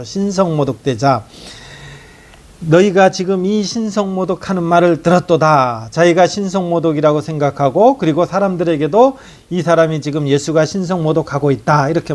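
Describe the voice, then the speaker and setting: A man preaching a steady expository sermon, working through the text verse by verse. His voice sits a little higher than most men's. He keeps pausing to pose rhetorical questions to his listeners. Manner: explains scripture verse by verse, asking rhetorical questions. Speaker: pastor.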